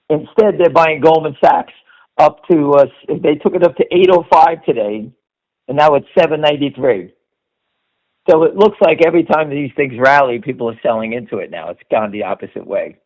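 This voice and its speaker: The audio is slightly dull and lo-fi, like a conference call. A man with a fairly high voice gives off-the-cuff market commentary. He is emphatic and insistent, leaning on short statements and rhetorical questions to drive each point. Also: lively intonation, normal speed.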